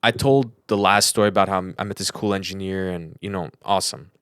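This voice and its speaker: A man's voice: low voice, fairly even intonation, brisk, a bit bright, smooth, no echo, studio quality. A man talking casually and at length as a podcast co-host, in a chatty, rambling storytelling way. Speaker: podcast co-host, audio engineer.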